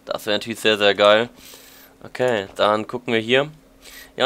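A man's voice: on the low side, bright, forceful tone, clean tone. A German man with a lingering cold, chatting casually as he plays and comments on a game, thinking aloud. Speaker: let's play commentator.

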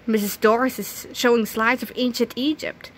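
A woman reading a children's graphic novel aloud, expressively, reading sound effects out loud as well. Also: a little high, fairly even intonation, moderate pace, slight background noise. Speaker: reader.

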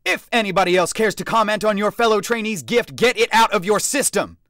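A high, blaring drill sergeant bellows commands in a dry, close sound, as a narrator puts him on. He is harsh and commanding, every order a roar. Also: lively intonation, quick pace, no echo, lightly acted. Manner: barking, roaring orders.

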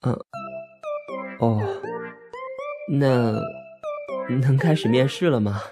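A man playing an earnest, easily flustered young job seeker in an audio drama, with an expressive delivery. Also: mid-range voice, extremely slow. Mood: nervous, flustered. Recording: music behind the voice. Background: background music.

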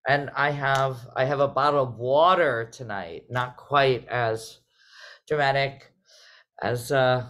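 A middle-aged woman hosting a casual online conversation, speaking reflectively and haltingly over a video call. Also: very deep voice, fairly bright tone. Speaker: host, artistic director.

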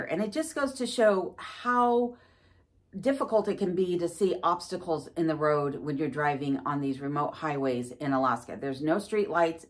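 A middle-aged woman vlogger explaining things to camera in a measured way, stressing key words. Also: lowish voice. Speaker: vlogger, host. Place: wood-panelled room at home, indoors.

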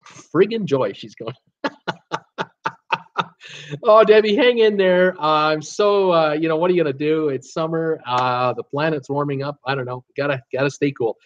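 A man's voice: a little high, muffled recording. A man in his sixties hosting a live stream from his home office. He reads viewer comments and chats casually, with lots of "uh" fillers. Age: 60s. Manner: rambling, chatty, frequent "uh" fillers, animated hand gestures. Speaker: cruise travel YouTuber hosting a livestream. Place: home office with shelves of cruise mugs, indoors.

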